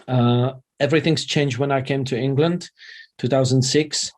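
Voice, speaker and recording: A middle-aged man with a Czech accent, chatting casually as an interview guest in halting, non-native English. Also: mid-range voice, steady tone. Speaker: interview guest, vegan chef and former radio presenter.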